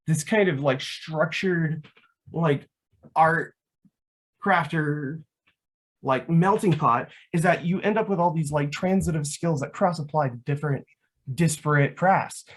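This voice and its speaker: A man's voice: on the high side, unhurried, husky. A man talking casually and enthusiastically as a guest about his hobby, rambling and saying "like" often. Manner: enthusiastic, rambling, frequent "like" as filler. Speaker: guest, LARP foam crafter.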